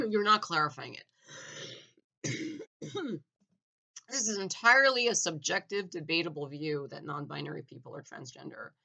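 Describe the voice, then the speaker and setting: A man giving a blunt, argumentative opinion monologue, full of "like" fillers and restarts, clearing his throat now and then. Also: on the high side, lively intonation, normal speed, long pauses, bright, forceful tone. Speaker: opinion vlogger.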